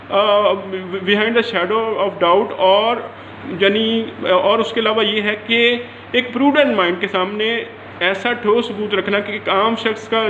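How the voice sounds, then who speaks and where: High-pitched Pakistani man lecturing, echoey room. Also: fairly bright tone. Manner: didactic, repeats and restates points. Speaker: law lecturer. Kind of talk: explanatory lecture to camera or mic, mixing in English legal terms. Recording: roomy echo, dull lo-fi sound, faint noise.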